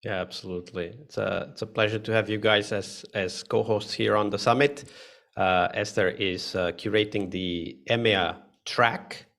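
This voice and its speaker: A man's voice: fairly low pitch, steady tone, normal speed, vocal fry, fairly bright tone. A man hosting a live online session, speaking casually with many fillers and restarts over a video call mic. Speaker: host.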